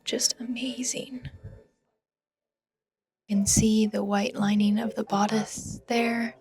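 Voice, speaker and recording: A woman narrating a vlog in an excited, gushing and exclamatory way. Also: medium pitch, takes it slowly. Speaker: vlogger and seamstress.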